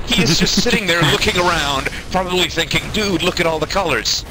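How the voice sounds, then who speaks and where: A man telling a comedic anecdote in an animated way, acting out the quotes as he goes. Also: high-pitched, a little fast. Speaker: storyteller, online gamer. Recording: noisy amateur game-session recording.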